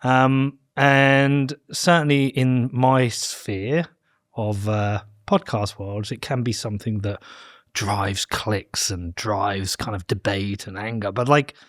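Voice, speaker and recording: A man with an English accent hosting a podcast, talking relaxed and self-deprecating, thinking aloud with "um" and "like". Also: fairly low pitch, slow, no room sound, studio quality. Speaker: podcast host. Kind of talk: conversational interview.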